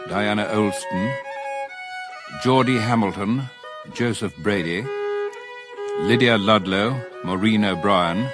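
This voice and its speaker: A man announcing the cast list at the end of a radio drama in a slow, formal reading over violin music. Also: fairly low pitch.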